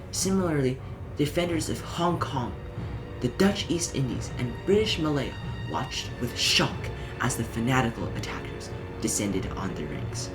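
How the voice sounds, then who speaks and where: A man reading a scripted educational narration in short, emphatic phrases. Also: medium pitch. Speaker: audiobook narrator.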